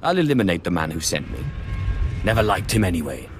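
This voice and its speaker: An actor playing a cold, sardonic Sith lord in a video game, terse and commanding. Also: male voice, low-pitched, very animated, quick pace, background noise. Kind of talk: video game hero dialogue.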